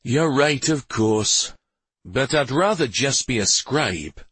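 A man performs a young warrior of rank in a fantasy audiobook. He is dutiful but weary, earnest with a sour streak. The voice is a little husky and expressive, recorded close and dry. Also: mid-range voice, unhurried, no echo, voice pushed up. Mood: resigned. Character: brooding young male war master, weary and dutiful.

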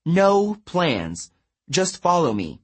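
A man's voice: on the high side, wildly swooping pitch, slow pace, slightly rough, voice pushed up, heavily acted. A man voicing a brave young heroine in a storybook, firm, soothing and determined. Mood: urgent resolve.

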